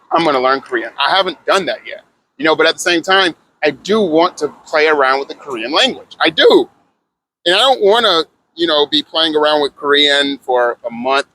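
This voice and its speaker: A man's voice: a little high, bright, forceful tone, a little hiss or hum, low-quality recording. A man talking casually in a rambling vlog about learning languages, slangy and upbeat, with lots of fillers and restarts. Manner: loose and conversational, lots of fillers and self-corrections.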